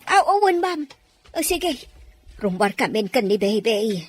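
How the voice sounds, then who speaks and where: A woman's voice: fairly high pitch, big pitch swings, a little slow. A woman playing a fretful, kindly older nanny who mutters, exclaims and soothes in an audio drama. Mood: obedient, reassuring. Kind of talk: amateur audio drama acting.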